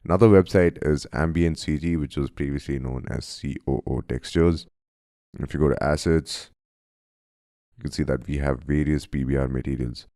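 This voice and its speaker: A man from India with a cold, narrating a friendly software tutorial and calling his viewers guys. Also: extremely low pitch, moderate pace, no room sound, clean studio sound. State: has a cold, voice deeper than usual. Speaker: software instructor. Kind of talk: screen-recorded tutorial narration.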